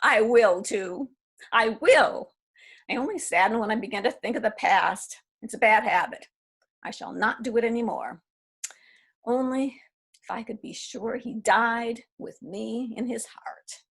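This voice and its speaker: Husky, somewhat high-voiced woman reading an aging romantic lady, wistful, for a stage reading. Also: unhurried, fairly bright tone, heavily acted. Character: aging woman pining for a lost love. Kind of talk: readers theatre reading.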